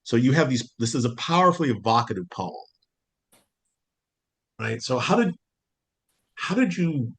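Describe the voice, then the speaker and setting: A man hosting an informal literary interview as an editor, speaking haltingly and repeating words as he frames his thoughts. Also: mid-range voice, breathy edge. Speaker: host and journal co-editor interviewing poets.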